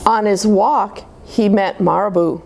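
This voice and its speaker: A woman's voice: mid-range voice, a little slow. A woman reading a picture book aloud to children, with asides to her listeners. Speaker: children's story time reader. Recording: noticeable steady background noise.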